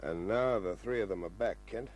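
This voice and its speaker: Man as a low, gruff Australian police sergeant. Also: normal speed, darkish tone, soft background music, lightly acted. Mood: grave. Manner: terse, dry. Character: gruff, world-weary male police sergeant. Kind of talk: 1950s radio crime drama acting. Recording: noisy old radio recording with hum.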